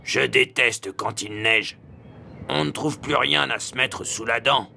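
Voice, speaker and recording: A man's voice: medium pitch, measured pace, vocal fry, bright, forceful tone, a little hiss or hum, heavily acted. A man voicing a villainous big wolf in a children's cartoon, theatrical and grumbling, with a coaxing, falsely reassuring manner.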